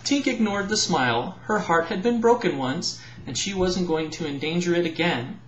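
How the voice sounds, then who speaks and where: A man's voice: high voice, animated, normal speed, a little hiss or hum. A man narrating a children's storybook aloud, as a parent reading to children. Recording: roomy echo, slightly dull home recording.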